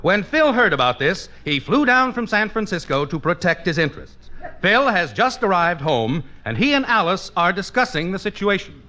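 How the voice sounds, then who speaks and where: A man's voice: sits high, very animated, normal speed, sharp tone. A man announcing on 1950s radio from a script, with a polished, emphatic selling delivery.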